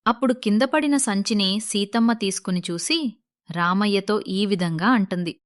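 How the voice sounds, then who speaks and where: A woman's voice: mid-range voice, moderate pace, no room sound, clean studio sound. A woman narrating a scripted moral story as its storyteller.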